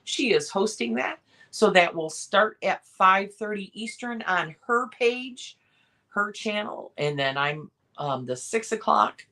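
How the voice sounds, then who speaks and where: Slow-talking hoarse woman host. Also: medium pitch, animated, pushed and bright. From Michigan, USA. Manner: rambling, many ums and restarts. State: hoarse from coughing. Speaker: live sale host. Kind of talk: casual live-stream chat.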